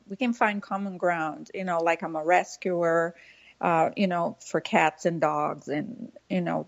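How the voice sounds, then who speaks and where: A woman's voice: medium pitch, vocal fry, no room sound. A woman from Brazil, co-hosting a podcast, chatting casually about politics with plenty of fillers. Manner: chatty, rambling, full of fillers and laughs. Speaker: podcast co-host.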